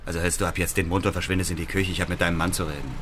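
An actor playing a cold, crude, menacing blackmailer bent on revenge in a German radio drama, with a taunting, deliberate delivery. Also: male voice, low voice, fairly even intonation, natural voice. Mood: domineering. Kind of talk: German radio drama dialogue and first-person narration. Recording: background noise, music under narration.

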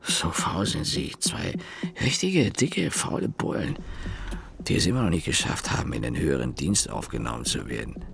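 Ein Schauspieler als verkaterter junger Mann, der trocken und in knappen Satzfetzen seine Nacht erzählt, im Hörspiel. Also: male voice, a bass voice, wildly swooping pitch, normal speed, vocal fry, a bit bright, full character voice. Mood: sardonic. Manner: clipped fragments, dry, sardonic. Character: weary hungover young man narrating his night. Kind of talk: radio drama monologue. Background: music.

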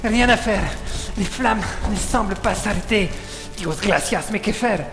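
A man's voice: high voice, unhurried. An actor playing an anxious, courteous man in an audio drama, fretful and easily alarmed. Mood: panicked. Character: anxious, courteous man, frightened companion of a heroine. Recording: echoey long reverb, faint music.

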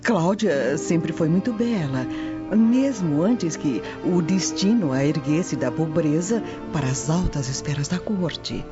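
Sympathetic gossiping Roman lady, lively intonation, confiding, muffled radio drama, music behind. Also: sits low, unhurried. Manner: hesitant, confiding. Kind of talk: Brazilian radio drama acting.

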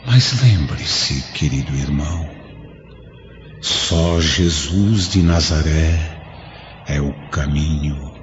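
An actor playing the serene, sorrowful spirit of an old friend, speaking solemn, measured Brazilian Portuguese in a radio drama. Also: male voice, very deep voice, music in the background. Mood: solemn consoling. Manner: solemn, measured, preaching gently. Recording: dull lo-fi radio drama, faint noise.

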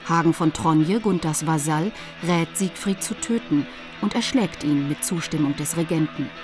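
A female narrator reads a scripted summary and announcement for a radio drama. Her voice is on the low side, with clear music underneath. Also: moderate pace.

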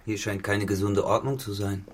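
An actor playing a cynical, dissolute farmhand turned tenant in a literary radio drama, grave and deliberate in manner. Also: male voice, fairly low pitch, level tone, a little hiss or hum, close to an everyday voice. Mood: cool, insolent.

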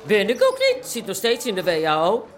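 A cheeky teasing barmaid. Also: female voice, medium pitch, wildly swooping pitch, a little fast, a little hiss or hum. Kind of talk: radio drama acting.

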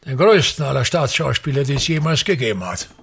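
Radio drama: self-important older patriarch of the stage, creaky voice, very animated pitch, pompous delivery. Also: male voice, a little fast, noisy background. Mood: indignant, grandiose. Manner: pompous, declamatory, emphatic repetitions. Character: pompous, tyrannical older actor-patriarch, grandiose and aggrieved. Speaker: actor. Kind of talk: theatrical radio drama monologue.

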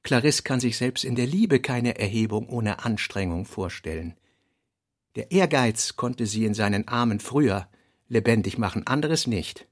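A man reading literary notes and prose for a radio play, in deliberate, clipped phrases with pauses between them. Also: mid-range voice, expressive.

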